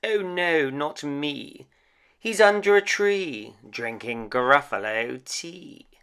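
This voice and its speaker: A man's voice: fairly high pitch, animated, slow pace, pushed and bright, clear, resonant tone, voice pushed up, exaggerated voice. A man voicing a sly, teasing fox creature in a storybook.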